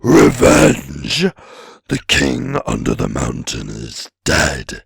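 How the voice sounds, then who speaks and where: Wicked old dragon, raspy breathy growl, forceful and tense, storybook villain, gloating drawl. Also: male voice, rumbling low, huge pitch swings, no room sound, clean studio sound, voice pushed down, exaggerated voice. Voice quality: gravelly, raspy, menacing. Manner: slow, gloating, sneering drawl. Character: ancient huge boastful male dragon, wicked and wily. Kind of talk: audiobook character voice.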